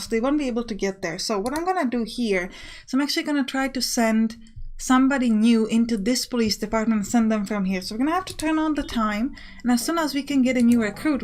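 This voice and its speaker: A woman's voice: on the high side, a little mellow. A woman giving casual let's play commentary, explaining a game as she plays it.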